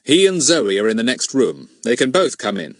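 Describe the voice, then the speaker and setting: A man's voice: medium pitch, very animated, moderate pace, no room sound, clean studio sound. Man doing a commanding, composed police inspector. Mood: brisk authority.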